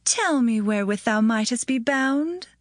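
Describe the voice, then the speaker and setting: An actress playing a seductive, insistent temptress in a Bible audio drama, pleading in archaic language. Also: female voice, fairly high pitch, animated, measured pace, no echo, studio quality. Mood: coaxing.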